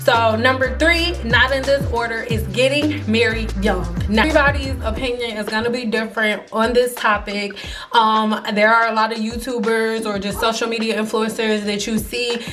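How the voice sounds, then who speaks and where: A woman in her early thirties telling life stories casually to camera, animated and slangy, acting out the people she quotes. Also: a little high, moderate pace, over music. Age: 30s. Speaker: hairstylist vlogger.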